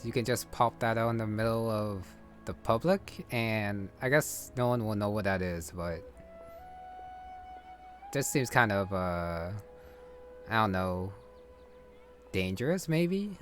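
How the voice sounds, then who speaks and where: A man's voice: on the low side, animated, takes it slowly, long pauses, a bit bright. A man giving casual gameplay commentary, thinking aloud in a hesitant, musing way. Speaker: gamer commentating on playthrough. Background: game music.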